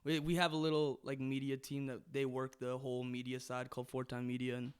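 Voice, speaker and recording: A young man with a Canadian accent is a drummer and rapper guesting on a podcast. He talks fast in an upbeat, grateful way. His voice comes through smooth and clear. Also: mid-range voice, flat delivery. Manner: upbeat, grateful, laughs often. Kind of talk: casual interview.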